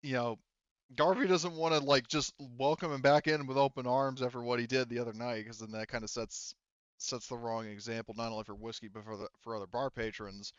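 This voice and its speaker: A man's voice: medium pitch, fairly even intonation, a little fast, clear, resonant tone, dry recording, muffled recording. A man acting as game master, narrating and chatting casually and informally, with some swearing.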